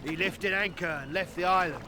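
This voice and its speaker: A man's voice: high voice, brisk, noisy background, close to an everyday voice. A man voicing an anxious, loyal and devout soldier in a video game, urgent and deferential. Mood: dismayed. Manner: urgent, worried.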